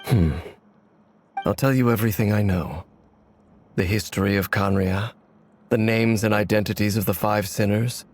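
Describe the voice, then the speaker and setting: A man playing a grave, guarded immortal wanderer in a video game, with a solemn, formal, measured way of talking. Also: lowish voice, steady tone, close to an everyday voice.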